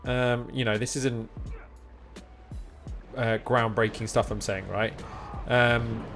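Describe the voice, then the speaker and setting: A man's voice: mid-range voice, fairly even intonation, slow. A man talks casually to his live stream viewers in a mid-range voice. He rambles along informally and encouragingly, with music faintly playing behind him.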